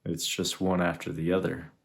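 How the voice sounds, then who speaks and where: A man leading a guided meditation, speaking in an unhurried, reflective way with long pauses between phrases. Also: sits low, little pitch movement, creaky. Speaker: meditation guide, spiritual teacher. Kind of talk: guided meditation, contemplative teaching.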